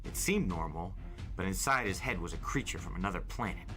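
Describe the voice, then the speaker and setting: Low, bright-voiced male storyteller. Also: lively intonation, fairly quick. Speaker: storyteller, podcast host. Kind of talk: dramatic first-person audiobook narration. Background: faint music.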